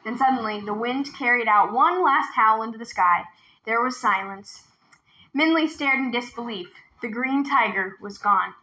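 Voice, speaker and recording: A woman narrating a children's novel aloud in an expressive storytelling style. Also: fairly high pitch, moderate pace, low-quality recording.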